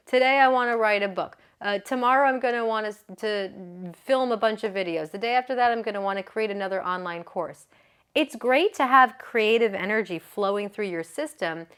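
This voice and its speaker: A woman's voice: medium pitch, fairly bright tone. A woman yoga business coach giving a motivational talk, chatty and earnest, often checking in with the listener and laughing easily.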